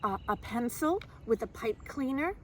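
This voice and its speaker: A woman kindergarten teacher speaking simply and expressively to young children, in a deliberate storytime manner. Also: on the high side, lively intonation, a little hiss or hum. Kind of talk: children's storybook read-aloud and simple instruction.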